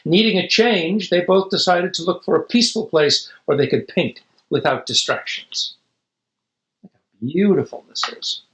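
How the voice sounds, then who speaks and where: A man's voice: high-pitched, moderate pace, fairly bright tone, roomy sound. A man reading a children's picture book aloud expressively, with dramatic emphasis and casual asides. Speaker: storybook reader.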